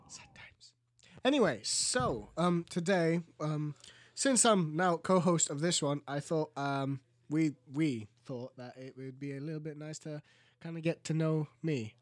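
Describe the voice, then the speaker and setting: Soft-trailing Greek-accented young man. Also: no echo. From Greece. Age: teens. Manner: rambling, laughing storyteller, voice often drops low and soft. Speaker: podcast co-host. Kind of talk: casual chat.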